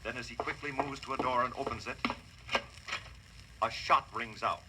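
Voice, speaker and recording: A man's voice: medium pitch, normal speed, heavy background noise. A man announcing and narrating an old-time radio crime drama in a solemn, theatrical scripted style. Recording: old radio broadcast with music.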